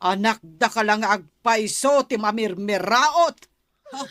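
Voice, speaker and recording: A woman's voice: medium pitch, takes it slowly, close to an everyday voice. A woman playing a harsh, accusing aunt in a radio drama, scolding and commanding, with an Ilocano Filipino accent. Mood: angry, accusing.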